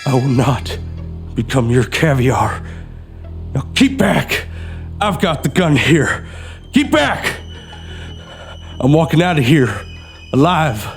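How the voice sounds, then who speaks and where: Slow-talking desperate robber played by a man. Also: medium pitch, big pitch swings, gravelly, soft background music, heavily acted. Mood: frightened defiance. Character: desperate trapped male robber, devoted father, defiant then pleading. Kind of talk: horror audio drama acting.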